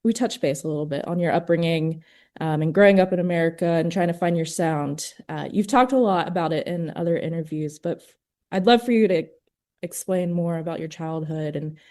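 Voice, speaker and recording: A woman hosting a radio studio interview, greeting her guest warmly and asking long, loosely worded questions. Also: sits low, normal speed. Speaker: radio host.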